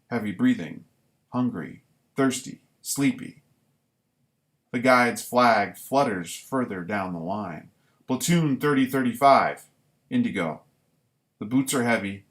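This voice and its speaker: A man with an average-pitched voice takes his time over each short phrase. He sounds like a writer at a live reading, deliberate and halting, moving between measured lines and casual commentary. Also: expressive. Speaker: writer reading own work; former military recruit.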